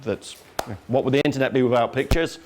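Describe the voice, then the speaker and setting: An English-accented man presents as a guest speaker in a relaxed, informal style. He has a joking, self-deprecating manner. His pitch sits in an ordinary middle range for a man. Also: a little fast, background noise. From England. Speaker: guest speaker from an internet governance organisation. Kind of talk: informal talk with slides. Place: crowded lecture room before an audience.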